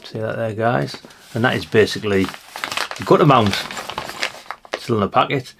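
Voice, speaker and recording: A man's voice: on the low side, normal speed, creaky, background noise. A British man giving a casual hands-on show-and-tell as a vlogger, musing aloud in a rambling way with chatty asides to the viewers. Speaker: vlogger showing a haul of vintage CB radios.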